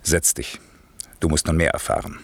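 A grave, commanding magician and father in a classic radio drama. He declaims solemnly in a deep voice, recorded close and very dry. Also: moderate pace, husky, no room sound, full character voice. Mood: solemn, soothing. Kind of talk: classic German radio play acting.

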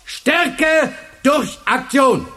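An actor playing a charismatic, fervent male high school teacher in a German radio drama, speaking with commanding, emphatic rhetoric. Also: drawn-out words, pushed and bright, exaggerated voice. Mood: commanding, rousing.

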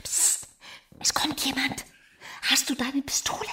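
A woman acting a passionate, anxious, yearning young wife in a radio drama, with an intense and breathy delivery. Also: high-pitched, level tone, a little slow, bright, forceful tone, whispered. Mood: anxious, hushed.

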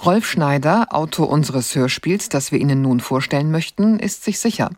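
A man reading a scripted introduction to a radio drama in a measured, formal way. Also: a little high, lively intonation, no room sound.

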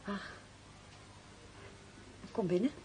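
A woman's voice: very slow, a little mellow, a little hiss or hum. An actress in a radio drama playing a guarded, maligned woman, terse, weary and pointed. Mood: reserved. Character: guarded, wry woman, maligned lover of a prisoner.

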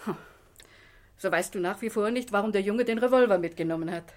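An actress playing a commissioner's inquisitive, gently teasing wife in a German radio play. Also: female voice, medium pitch, fairly quick, natural voice. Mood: curious.

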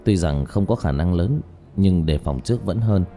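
A man playing a calm, matter-of-fact young male exorcist in an audiobook, speaking in a low-key, measured way. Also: faint music behind. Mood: calm, explaining. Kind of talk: audiobook character dialogue.

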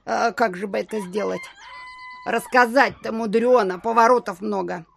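A woman's voice: a little high, normal speed, vocal fry, fairly bright tone, slight background noise. An actress playing a fussy, thrifty old country landlady in an audio play, with homely, fretful speech. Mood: wheedling, wary.

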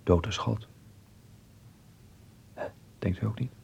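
A man acting a sick, frightened, earnest teenage boy in a radio play, speaking in a halting, intimate, creaky half-whisper. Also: lowish voice, darkish tone, heavily acted. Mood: guarded, pensive. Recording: muffled old radio play recording.

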